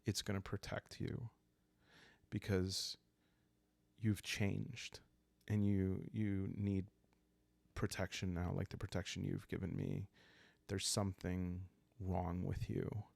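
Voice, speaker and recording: A man hosting a solo roleplay game playthrough, calm and soft-spoken, improvising the story and thinking aloud as he goes. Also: deep voice, slow pace, vocal fry, no echo. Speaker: host playing a solo journaling roleplay game.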